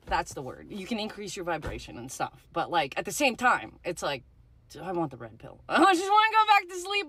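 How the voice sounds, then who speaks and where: A young woman ranting in a casual vlog, chatty and filled with "like" and asides. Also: mid-range voice. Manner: chatty, animated, lots of "like" and laughs.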